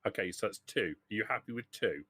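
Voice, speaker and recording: A man's voice: fairly low pitch, animated, fast talker, pushed and bright, no echo, clean studio sound. A man acting as game master, explaining rules casually and chatting with players at a tabletop game.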